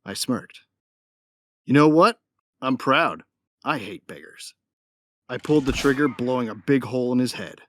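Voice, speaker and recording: A man's voice: medium pitch, animated, normal speed, long pauses, fairly bright tone, a little hiss or hum, close to an everyday voice. A man playing a cocky, wry bounty hunter who narrates his own story in an audio drama. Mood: cocky, vicious. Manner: wry, self-satisfied. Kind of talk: first-person dramatic narration.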